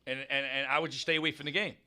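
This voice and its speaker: A man's voice: medium pitch, fairly even intonation, very fast, bright, forceful tone, clear, resonant tone. A man in his early forties bantering casually, informal and teasing. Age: early 40s.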